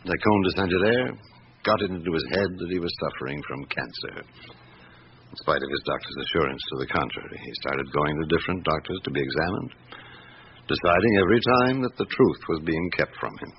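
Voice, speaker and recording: An actor playing a patient, methodical chief inspector in a radio drama, speaking deliberately and with quiet authority, with probing questions. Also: male voice, a bass voice, animated. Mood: grave. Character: patient, methodical middle-aged male police chief inspector. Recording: muffled, noisy old radio recording.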